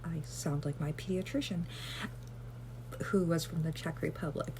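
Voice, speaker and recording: A woman vlogger rambling gently through casual self-care advice, with lots of fillers and self-deprecating asides. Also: sits low, steady tone, normal speed, gravelly, background noise.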